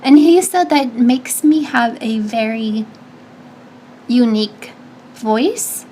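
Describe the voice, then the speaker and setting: A woman with a notably high voice chats casually with her stream audience, handing out advice. She sounds a little nasal and stuffy, and she circles back and restarts her sentences as she goes. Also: takes it slowly, dark tone, a little hiss or hum. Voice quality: high-pitched; slightly nasal. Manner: chatty, advice-giving, lots of restarts and fillers. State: stuffy nose. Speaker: streamer answering chat. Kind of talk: casual chat.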